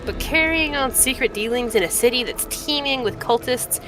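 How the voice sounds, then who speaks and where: A woman's voice: on the high side, normal speed, natural voice. A woman voicing a tough, wry female city scout in a video game, with a folksy, sarcastic, slangy way of talking. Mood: frank, exasperated. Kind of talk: reading video game dialogue aloud. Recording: loud music under the voice.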